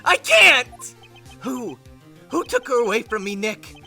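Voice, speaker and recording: A man's voice: very high-pitched, sing-song, slow pace, sharp tone, soft background music, heavily acted. A man voicing a melodramatic, despairing young man in a fan-dubbed video game, wailing over the top. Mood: anguished pleading.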